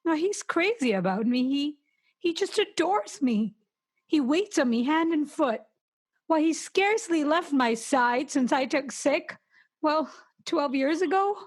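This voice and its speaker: A woman's voice: high-pitched, expressive, unhurried. A woman acting a nervous, demanding bedridden wife in a noir radio play, agitated, scolding and full of self-pity. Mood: fretful, fond.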